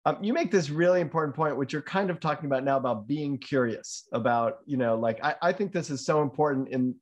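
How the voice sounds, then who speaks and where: A man's voice: medium pitch, darkish tone. A man hosting an interview who asks casual questions, thinks aloud with hedges and fillers, and chimes in often with agreement. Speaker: interview host.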